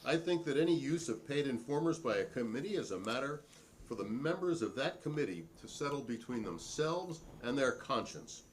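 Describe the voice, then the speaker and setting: A man's voice: mid-range voice, expressive, moderate pace, slight background noise, close to an everyday voice. A man playing a defiant, proudly principled hearing witness in a dramatic transcript reading, formal and pointed in manner.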